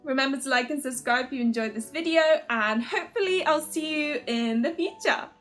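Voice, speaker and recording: High-voiced woman chatting casually as video host. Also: a little fast, a bit bright, soft background music. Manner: giggly, rambling, self-deprecating fan enthusiasm. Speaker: video host, otome game reviewer. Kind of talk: casual chatty commentary to viewers.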